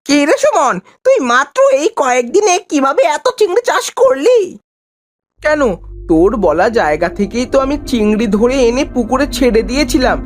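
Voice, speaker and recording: A woman voicing a naive, excitable young village man in a cartoon, full of animated exclamations. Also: high-pitched, moderate pace. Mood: astonished. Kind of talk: cartoon voice acting.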